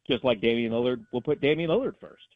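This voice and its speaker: A man's voice: mid-range voice, fast, dry recording. Dull-sounding line, emphatic male sports announcer. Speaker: sports play-by-play announcer as guest. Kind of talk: conversational sports analysis.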